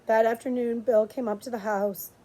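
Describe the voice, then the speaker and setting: A woman reading a storybook aloud in an expressive, dramatic way with drawn-out pauses. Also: fairly high pitch, poor audio. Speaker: audiobook reader, librarian.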